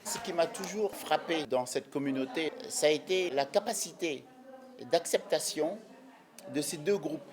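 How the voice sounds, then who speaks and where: A mature man speaking reflectively in an interview, measured and hesitant. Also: a little high, a crowd in the background. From Senegal, born in Vietnam. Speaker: documentary interviewee.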